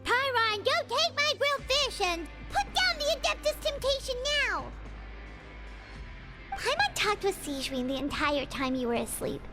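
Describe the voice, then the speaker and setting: Tiny excitable game fairy sidekick, extremely high squeaky childlike voice, very animated, music underneath. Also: female voice, moderate pace. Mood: excited. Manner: exclamatory, refers to self in third person. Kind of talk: video game voice acting. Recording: music behind the voice.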